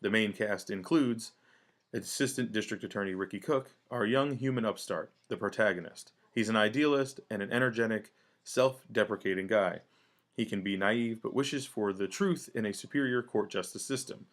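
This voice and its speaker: A man's voice: on the low side, moderate pace. A man hosting a table read, introducing the cast and reading stage directions in a matter-of-fact way. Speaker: host and narrator of a table read.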